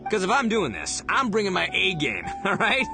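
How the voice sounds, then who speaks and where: A man's voice: high-pitched, huge pitch swings, moderate pace, gravelly, full character voice. A man playing a brash, goofy, hot-headed but big-hearted gang boss in an anime video game, cocky and hammy. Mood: eager. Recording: loud music behind the voice.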